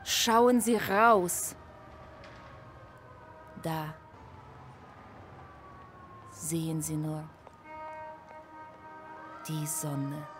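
An actress in a radio play playing a patient woman in charge who coaxes and lectures gently in a measured way. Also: low-pitched, expressive, soft background music, heavily acted. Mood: calm coaxing. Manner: measured, coaxing, deliberate.

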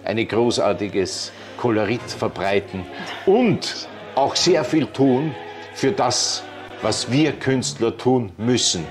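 A man's voice: medium pitch, animated, takes it slowly, music in the background. A man in his sixties hosting a formal interview in a polite, effusive way, on a lapel mic in an ornate room. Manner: courteous, effusive. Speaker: interviewer, host. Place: ornate indoor reception room.

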